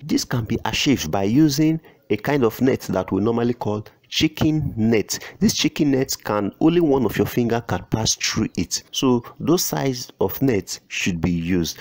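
Male farmer, lowish voice, measured instructional voice-over with hesitations. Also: animated, darkish tone. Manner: slow and deliberate, with fillers and restarts. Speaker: poultry farmer.

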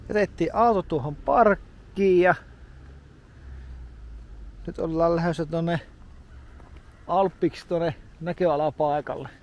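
A man's voice: on the high side, pauses often, highs cut off. Man narrating a travel vlog outdoors. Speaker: travel vlogger. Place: outdoors, by a car park in a mountain town.

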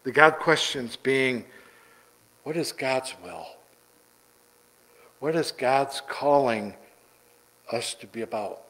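Middle aged pastor man preaching slow from the pulpit, church sanctuary, repeats himself for emphasis. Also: medium pitch, a bit bright, rough recording. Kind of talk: sermon. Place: church sanctuary, large indoor room.

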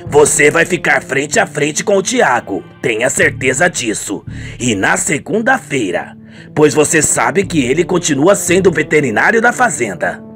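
Skeptical Brazilian dad, acted by a man, lively pitch. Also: measured pace, soft background music, heavily acted. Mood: surprised. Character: doubtful, watchful middle-aged father of a grown daughter. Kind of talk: amateur radio soap opera acting.

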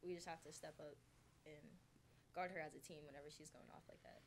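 A woman's voice: sits low, fairly even intonation, brisk, very noisy. A young woman, a college basketball player, answering questions at a post-game press conference. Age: young adult. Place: indoor press conference room, seated at table.

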